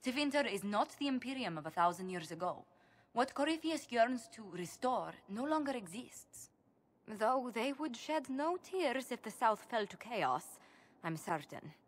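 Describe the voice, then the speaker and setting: A woman's voice: a little high, very animated, unhurried. A woman playing a composed, analytical adviser in a fantasy game, formal and articulate. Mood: thoughtful, concerned. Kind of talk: dramatic fantasy game dialogue.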